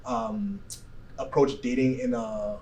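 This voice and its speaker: A man's voice: medium pitch, sing-song, slow pace, gravelly, a little mellow, lo-fi sound. A man in his twenties giving his opinion as a talk show guest, unscripted, hedging and often checking in with a tag question. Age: 20s. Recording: distant mic, faint background noise.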